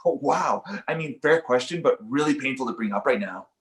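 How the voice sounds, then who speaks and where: A man playing an insecure, sarcastic failed-comedian father, defensive and sardonic, in an animated comedy read. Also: mid-range voice, brisk, close to an everyday voice. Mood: defensive, bitter.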